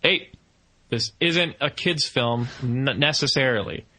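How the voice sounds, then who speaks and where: A man's voice: medium pitch, measured pace, pushed and bright. A man co-hosting a podcast, talking through a casual, opinionated film review.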